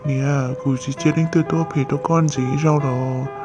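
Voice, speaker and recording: A man voicing a male character in an animated family drama, in a dull lo-fi recording. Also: fairly high pitch, a little fast, lightly acted. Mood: conversational. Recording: dull lo-fi sound. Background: music.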